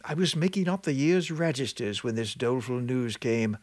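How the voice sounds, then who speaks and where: Man playing a country clergyman, brisk, impatient, dry recording. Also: medium pitch, lively intonation, darkish tone, no room sound, natural voice.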